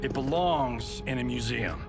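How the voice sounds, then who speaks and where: A man's voice: medium pitch, measured pace, creaky, loud music behind the voice. A man playing a cocky, charming action-movie star in a cinematic video game, with glib, self-assured, theatrical delivery. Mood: defiant, cocky.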